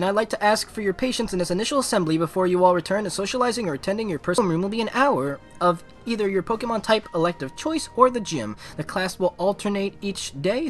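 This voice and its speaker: A man's voice: high-pitched, fairly bright tone. Man voicing a formal schoolgirl council president. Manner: formal, measured speech-making. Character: poised, formal teenage girl, student council president.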